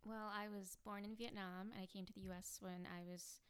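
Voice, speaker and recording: A young woman from the Chicago suburbs, a guest in a podcast interview, speaking earnestly and reflectively with pauses. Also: mid-range voice, flat delivery, clear, resonant tone. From Chicago suburbs, US Midwest. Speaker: campaign manager, guest.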